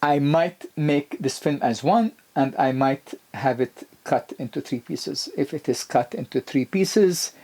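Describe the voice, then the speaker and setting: Husky man lecturing emphatically. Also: unhurried, few pauses. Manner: emphatic, lively rises in pitch on key points, many restarts and fillers. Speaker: health lecturer. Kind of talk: explanatory lecture video.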